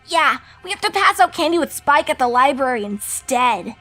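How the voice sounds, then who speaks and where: A woman voicing young fillies, girlish and bright, nervous and wary. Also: high voice, very animated, normal speed, soft background music, voice pushed up, full character voice.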